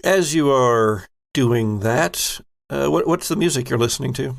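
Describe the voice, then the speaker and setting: A man narrating a tabletop game as game master, laid-back and wry, with pauses in his narration. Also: mid-range voice, expressive, gravelly, slight background noise.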